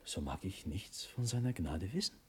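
An actor playing a proud, honour-bound young prince in a classical verse radio drama, with solemn, declamatory delivery that moves between hushed and forceful. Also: male voice, low-pitched, expressive, normal speed, slight background noise. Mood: firm, withdrawn.

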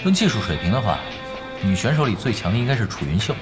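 A low male voice with wide swings in pitch, a young gaming expert who knows it all. He is dry, understated and quietly sarcastic, in audio drama dialogue over music. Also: very animated, quick pace. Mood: cool, assured.

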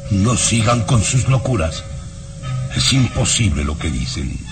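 A jittery older nobleman who stammers and protests, with a low voice that swings widely. He speaks slowly and deliberately over radio-serial music. He sounds like a schemer caught off guard. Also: very animated. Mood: agitated. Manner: stammering, flustered and defensive. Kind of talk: old radio drama acting.

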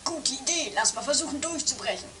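Woman doing a very high bright excitable drama queen adventurer, swoopy, echoey radio play. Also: brisk, soft background music. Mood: eager. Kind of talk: amateur 1980s comedy radio play acting.

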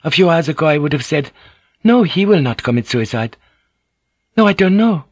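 A man performs a Japanese noblewoman serving as interpreter in a historical audiobook. The voice is lifted high, polite and hesitant. An anxious deference runs through it, in a dry recording. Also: very animated, normal speed, no echo, muffled recording. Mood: troubled, hesitant.

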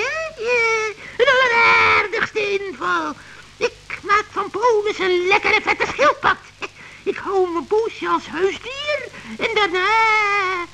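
A scheming forest witch cackles over her spellbook. The voice sits extremely high, with a sharp, bright edge. She drags out every gloating word in a slow, savoring drawl. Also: gravelly, slight background noise, exaggerated voice, low-quality recording. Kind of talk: 1960s children's radio play acting.

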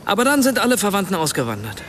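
An earnest writer full of self-doubt, his voice on the high side. He picks his way through sentences hesitantly, apologetic yet probing, in naturalistic radio drama dialogue. A faint rumble of vehicles sits behind him. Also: wildly swooping pitch, rapid-fire, a bit bright, exaggerated voice. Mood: earnest, urging. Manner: hesitant, self-interrupting, searching for words.

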